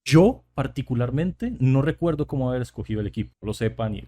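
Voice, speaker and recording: Colombian male podcast host, relaxed chatty delivery, mid-range voice. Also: medium pitch, fast talker. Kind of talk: casual podcast chat.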